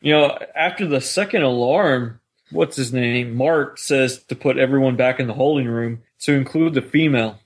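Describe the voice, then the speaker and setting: A man's voice: mid-range voice, moderate pace, few pauses. A man with a mid-range voice co-hosts a podcast. His delivery is casual chat, conversational and unscripted. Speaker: podcast co-host.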